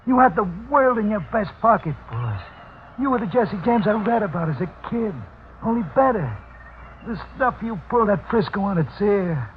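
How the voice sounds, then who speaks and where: A man's voice: sits high, big pitch swings, normal speed. An actor playing a tough, loyal gangster henchman with slangy talk in a 1940s radio crime drama. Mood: admiring, insistent. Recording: thin, phone-like old radio recording, faint noise.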